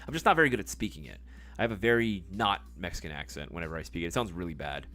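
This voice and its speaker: A man's voice: low voice, lively intonation, racing, pushed and bright. A man hosting a live stream in casual chat, reading viewer comments, rambling with many fillers and casual swearing.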